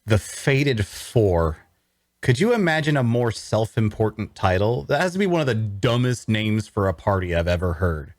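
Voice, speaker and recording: The disgusted loner muttering his account from a tavern corner in a fantasy audio drama, low and dryly recorded. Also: sing-song, moderate pace, no room sound, studio quality. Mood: scornful. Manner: scornful, sardonic. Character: bitter, disillusioned male onlooker narrating his story. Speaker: actor at a table read. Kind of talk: first-person dramatic narration.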